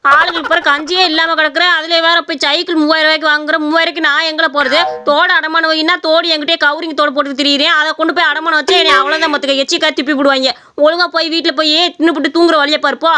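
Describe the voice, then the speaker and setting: A woman's voice: high voice, little pitch movement, very fast, few pauses, pushed and bright. A woman playing a poor old village grandmother who scolds and grumbles in a comic skit. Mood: exasperated. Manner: scolding, complaining.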